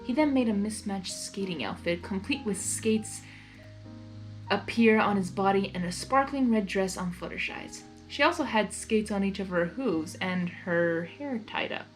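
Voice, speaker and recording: A woman's voice: mid-range voice, measured pace, soft background music. A woman narrating a story expressively, in the manner of an amateur reader who reacts as she goes. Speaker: fan fiction reader.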